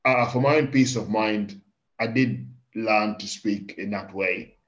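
A man in his thirties, born in Kenya and speaking with an English accent, giving candid, animated stories as a guest in a casual interview. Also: lowish voice, a little slow. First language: Swahili. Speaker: farmer guest. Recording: dull lo-fi podcast recording.

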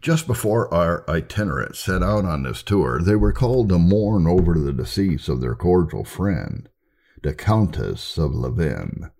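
Male narrator for religious history audiobook: extremely low, creaky voice, formal delivery, lively intonation. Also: measured pace. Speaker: narrator reading church history.

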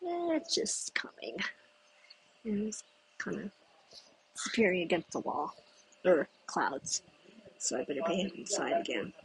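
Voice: medium pitch, wildly swooping pitch, slight background noise, rough recording. A podcast host who grew up in the United States, chatting in a meandering way outdoors in a breezy spot where people pass by. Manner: chatty, meandering, thinks aloud with pauses. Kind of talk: rambling solo podcast chat.

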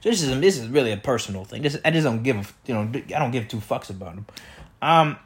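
A man with a loud, projecting voice rambling through a comedic solo monologue as a podcast host, repeating himself and tossing out rhetorical questions. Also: medium pitch, expressive, fast talker, husky. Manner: repetitive, riffing with catchphrases and rhetorical questions. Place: indoor room beside an open window.

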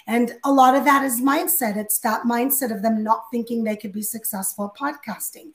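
A woman hosting an interview, with a naturally hoarse and slightly nasal voice. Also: on the high side, moderate pace, echoey room. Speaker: interview host. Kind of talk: interview chat.

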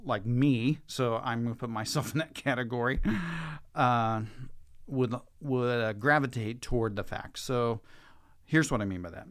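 Guy, pastor doing a casual podcast chat, clear smooth voice, really dry sound. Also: mid-range voice, no echo. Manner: informal, conversational. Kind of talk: conversational podcast interview.

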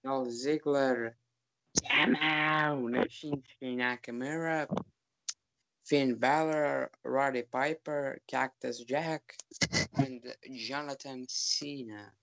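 A man co-hosting a casual podcast, talking in a halting, deadpan way. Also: medium pitch, creaky, dry recording, highs cut off. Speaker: podcast co-host. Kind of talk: casual chat ranking wrestlers.

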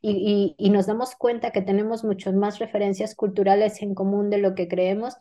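A woman's voice: medium pitch, little pitch movement, moderate pace, dark tone. A middle-aged Mexican woman, an author, answering an interview in an earnest, reflective way, often restarting and adding "¿no?". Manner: earnest, many repeated restarts and "¿no?" tags. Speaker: author guest.

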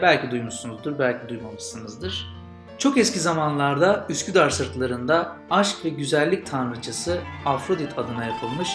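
Man, story podcast host, fairly high pitch, guitar music behind, noticeable room echo. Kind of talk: casual intro and narrated legend storytelling.

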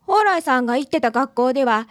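A woman's voice: high-pitched, expressive, quick pace, no echo, clean studio sound. A woman with a Kansai accent playing a friendly, outspoken local woman in a radio drama. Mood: chatty, excited. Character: friendly, outspoken local woman, also as her schoolgirl self. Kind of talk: scripted radio drama acting.

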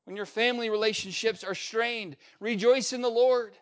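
A male pastor preaching a sermon, building up to a repeated refrain and swinging between loud peaks and quiet, low asides. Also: steady tone, moderate pace. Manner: emphatic preacher with swings in pitch and loudness, repetitive refrain.

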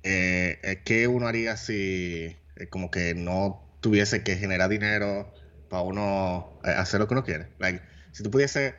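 A man's voice: deep voice, measured pace, fairly bright tone, dull audio. A man with a Dominican accent co-hosting a podcast, chatting loosely and dropping English words into his speech. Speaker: podcast co-host. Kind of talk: casual chat.

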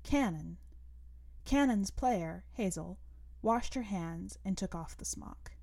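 A woman narrating literary fiction as a podcast host, in a measured, deliberate manner. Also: medium pitch, expressive, gravelly, mellow. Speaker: podcast host and story reader.

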